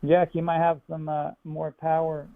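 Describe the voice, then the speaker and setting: A man's voice: fairly high pitch, monotone, moderate pace, vocal fry, no room sound. A young man chatting casually over a phone line, guarded and noncommittal but easygoing. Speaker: person on the other end of a call. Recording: tinny, narrow phone line.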